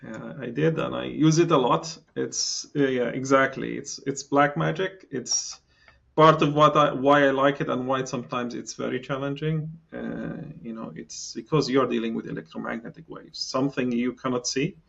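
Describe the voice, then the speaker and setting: A man's voice: mid-range voice, fairly even intonation, moderate pace, vocal fry, a bit bright, lo-fi sound. A middle-aged man from Palestine, a guest in a podcast interview, talking with many fillers and restarts. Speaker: engineering guest.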